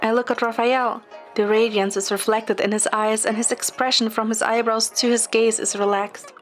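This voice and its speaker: High-voiced woman narrating a story over music. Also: little pitch movement, normal speed. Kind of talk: scripted first-person story narration. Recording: music behind the voice.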